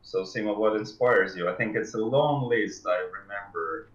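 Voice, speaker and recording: Give a man with a fairly low voice who talks haltingly, as a developer in a casual livestream interview. He picks his way through phrases with pauses. The sound is dull, with clear room echo. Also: fairly bright tone. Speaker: game developer interview guest.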